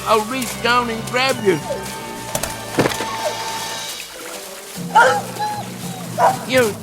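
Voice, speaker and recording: A man's voice: very high-pitched, steady tone, measured pace, over music. An actor playing an old rural American hunter in a radio drama, folksy and rambling, talking fondly to his hound. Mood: alarmed, urgent. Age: older.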